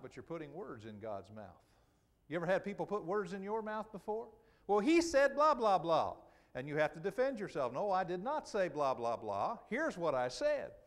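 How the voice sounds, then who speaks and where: Higher-pitched preacher giving a sermon. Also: male voice. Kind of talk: sermon, expository Bible teaching. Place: church auditorium, speaking from the pulpit to a congregation.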